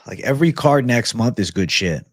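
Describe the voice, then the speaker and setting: A man's voice: medium pitch, lively intonation, normal speed, no room sound. A young American man hosting a casual MMA livestream, reading viewer messages into a desk mic and chatting in a slangy, easygoing way. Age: young adult. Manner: laid-back, slangy, says bro a lot. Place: home studio room with shelves. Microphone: desk microphone in front.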